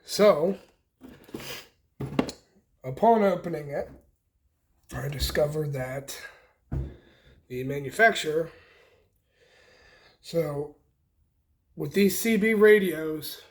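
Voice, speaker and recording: A man's voice: a little high, slow pace, long pauses. A man hosting a casual hands-on DIY tutorial, explaining informally step by step in short pieces as he works. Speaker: host of a DIY homestead channel, demonstrating wiring.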